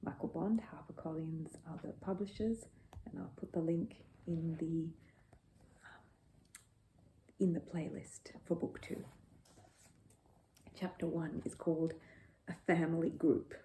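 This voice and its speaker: A woman narrating a children's bedtime story in an expressive storytelling style. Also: low voice, a little slow, long pauses, slight background noise, rough recording.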